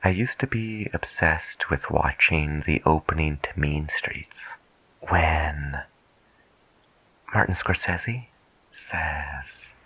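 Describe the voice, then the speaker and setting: A man speaking softly and half-whispering in an unhurried, musing way, as a late-night radio DJ in an ASMR roleplay. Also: extremely low pitch, slightly rough.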